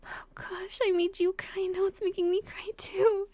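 A woman playing a tender, emotional young girlfriend in an intimate roleplay audio drama, speaking softly and breathily, often in whispers, with affection. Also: extremely high pitch, flat delivery, normal speed, no room sound. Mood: tearful, soothing.

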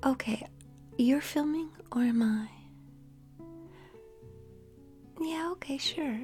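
A woman acting an affectionate, aroused lover in an intimate adult audio roleplay, speaking in a breathy, halting whisper with sighs between phrases. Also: sits high, darkish tone, soft background music. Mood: playful, aroused. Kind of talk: intimate erotic audio roleplay addressed to the listener.

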